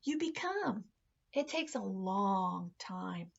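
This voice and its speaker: Wise old storybook toy horse, played by a woman: gentle, patient, very slow, breathy hush with some creak. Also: medium pitch, big pitch swings, muffled recording, heavily acted. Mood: patient, reassuring.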